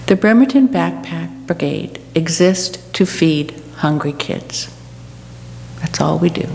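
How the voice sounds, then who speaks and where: A woman giving a church reflection as a guest speaker, deliberate, with pauses and rhetorical repetition. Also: mid-range voice, darkish tone. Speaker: guest speaker for a food charity. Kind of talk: church reflection, delivered as a speech. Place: large church room. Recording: echoey hall with background noise and hum.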